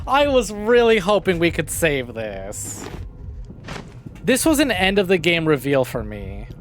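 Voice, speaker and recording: A man's voice: a little high, wildly swooping pitch, measured pace, soft background music. A man giving casual live-stream commentary on a game, sweary and thinking aloud.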